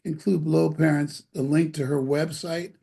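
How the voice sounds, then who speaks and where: Gravelly mellow guy hosting, pitching stuff to parents, kinda rambly. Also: steady tone, moderate pace. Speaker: host of an education program show. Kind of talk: casual interview, addressing parents.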